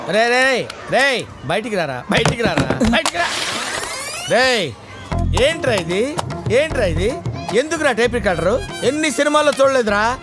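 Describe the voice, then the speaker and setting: A very high-voiced, blustering middle-aged man in a film comedy. He is boastful yet cowardly, exclaiming and repeating words theatrically, with loud music on the soundtrack. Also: very animated, normal speed, pauses often. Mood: boastful ranting. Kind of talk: Telugu film comedy acting.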